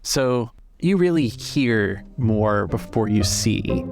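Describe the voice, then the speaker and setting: A man's voice: fairly low pitch, expressive, takes it slowly. A man acting as game master, improvising the narration of a tabletop roleplaying game. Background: music.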